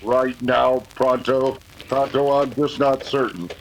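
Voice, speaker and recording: A gravelly-edged, mid-pitched western hero, acted by a man for a radio serial. He is earnest and resolute, a masked cowboy who takes responsibility. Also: steady tone, slow, a little hiss or hum, poor audio. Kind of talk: old-time radio western acting.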